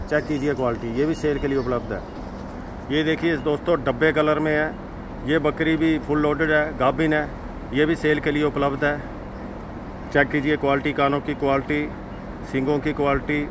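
A man from Himachal Pradesh walks through his goat farm and shows the animals one by one. He speaks in a fairly high, monotone voice, going over the same selling lines again and again. Clear outdoor noise from passing vehicles runs under the recording. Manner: repetitive sales pitch, addresses viewers as friends. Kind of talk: walk-around sales video.

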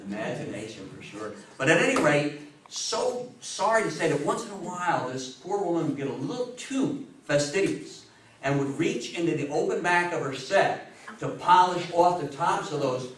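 A man in his sixties lectures to a room in a fairly high voice, stressing his points like a storyteller. He pauses for emphasis and puts questions to his listeners. The sound is very echoey and picked up from a distance, with a faint layer of noise. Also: sing-song, a bit bright. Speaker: guest lecturer on history. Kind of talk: public talk to an audience. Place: indoor meeting room with seated audience. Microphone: distant camera microphone.

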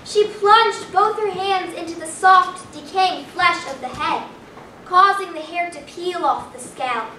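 A woman's voice: extremely high pitch, measured pace, bright, forceful tone, heavily acted. A young female performer playing a dramatic, macabre little girl in a staged play reading, declaiming theatrically. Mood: macabre relish. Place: small hall, staged reading before an audience. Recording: roomy distant camera recording, faint noise.

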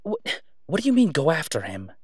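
A man's voice: a little high, wildly swooping pitch, a little fast, a little mellow, husky, no room sound, studio quality, full character voice. A man playing a sardonic, exasperated but protective vigilante antihero in an audio roleplay, with a weary, clipped manner. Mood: exasperated, outraged. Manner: sarcastic, weary, clipped, emphatic when irritated. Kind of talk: solo audio roleplay acting, conversational.

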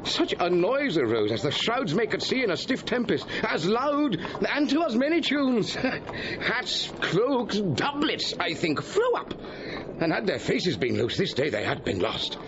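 Animated, higher-voiced gentleman gossip. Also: measured pace, full character voice. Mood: delighted, excited. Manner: animated, relishing the tale. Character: excited, gossipy gentleman eyewitness, worldly and sociable. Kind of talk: Shakespearean audio drama acting. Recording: dull audio with background noise.

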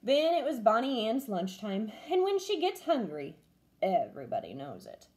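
A woman's voice: a little high, moderate pace. A woman in her twenties, a teacher, expressively reading a picture book aloud to children at home. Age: 20s. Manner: expressive storytelling. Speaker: first-grade teacher. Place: small room at home, by a window.

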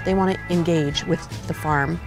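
Older woman farmer, low voice, relaxed and earnest, outdoor interview over guitar music. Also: moderate pace, creaky, poor audio. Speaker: urban farmer. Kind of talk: documentary interview. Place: outdoors on a farm.

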